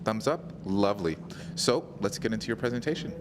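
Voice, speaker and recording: A man hosting a live award ceremony on a conference stage, chatty and encouraging, filling pauses while the tech gets set up. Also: fairly low pitch. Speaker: host of hackathon award ceremony. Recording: noisy live event audio.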